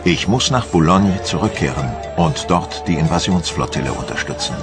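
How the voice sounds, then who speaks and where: An actor playing a wary, proud French admiral of the Napoleonic wars, narrating in a measured, formal first person for a documentary audio drama. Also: male voice, sits low, expressive, over music. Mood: resolute.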